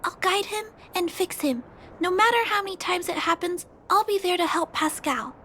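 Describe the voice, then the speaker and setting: Very high-voiced earnest little girl. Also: lively intonation, moderate pace, pushed and bright, a little hiss or hum, full character voice. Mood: determined, pleading. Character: small earnest young girl, gentle and determined. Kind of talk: scripted video game dialogue.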